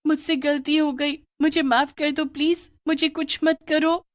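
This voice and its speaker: A woman voicing a curious, scared young schoolgirl, talking high and excitedly. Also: a little slow, no room sound, studio quality. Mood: pleading.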